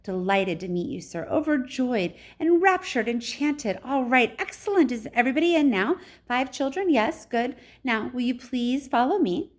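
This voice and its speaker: A woman voicing an effusive, eccentric male factory owner from a children's storybook, with a high, fluty voice and gushing, quick-fire politeness. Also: animated. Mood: effusive delight.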